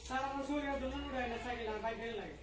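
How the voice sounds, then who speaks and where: A woman's voice: high-pitched, racing. A woman playing a cheeky, chattering villager in an amateur comic skit, with a voice-changer effect. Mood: complaining. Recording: echoey, distant, crowd behind.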